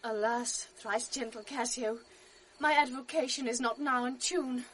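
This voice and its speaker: A gentle pleading young wife. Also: female voice, fairly high pitch, fairly even intonation, unhurried, slight background noise, poor audio. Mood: sorrowful. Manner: earnest, pleading. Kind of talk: 1980s radio drama acting.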